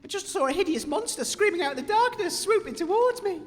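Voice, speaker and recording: Hapless, pompous radio-comedy presenter, acted by a woman: high, wildly swooping delivery, reverberant hall. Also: animated, fast. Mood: defensive. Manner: pompous, theatrical, pleading. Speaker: radio show host character. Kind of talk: audio comedy drama acting. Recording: long echoing hall, faint background noise.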